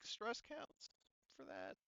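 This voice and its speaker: A man's voice: high-pitched, huge pitch swings, slow pace, creaky, bright, forceful tone, muffled recording. A man acting as game master, casually narrating a tabletop game and talking through the rules.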